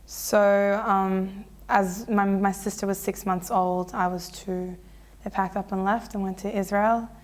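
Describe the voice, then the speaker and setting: A woman, telling her life story in a relaxed, chatty way full of fillers and restarts. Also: medium pitch, unhurried. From Australia. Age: 20s. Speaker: interviewee. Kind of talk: casual personal storytelling.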